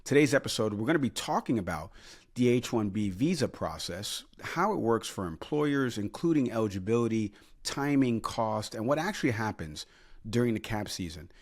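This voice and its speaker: A man's voice: fairly low pitch, lively intonation, normal speed. A man hosting an interview podcast. He talks in a friendly, conversational way, with fillers like "you know" and "like.". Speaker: podcast host.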